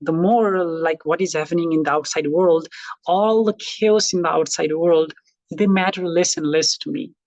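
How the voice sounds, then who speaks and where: Creaky-voiced Nepali man teaching online. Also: fairly high pitch, fairly even intonation, normal speed, a little mellow, no room sound. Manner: reflective, frequent fillers and restarts. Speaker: college instructor. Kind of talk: casual lecture to online students.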